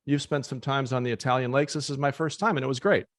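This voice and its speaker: A man's voice: mid-range voice, fairly even intonation, fast, no echo, studio quality. American man hosting a casual podcast. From United States. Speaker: podcast host.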